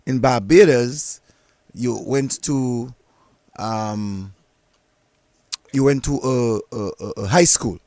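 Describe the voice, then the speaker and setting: A man's voice: mid-range voice, slow, long pauses, a little mellow. Probing male podcast host. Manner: probing, repeats words as he interrupts with questions. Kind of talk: interviewing a guest.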